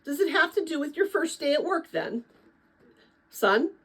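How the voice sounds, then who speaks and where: A woman voicing a kind, patient middle-aged father in a storybook, speaking in a gentle, encouraging manner. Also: high voice, big pitch swings, measured pace, pitched above the performer's own voice, heavily acted. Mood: puzzled, gentle.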